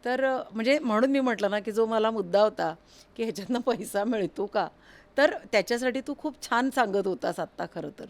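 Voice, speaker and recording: A woman's voice: on the high side, brisk. A woman interviewing a guest in a conversational, encouraging and affirming way.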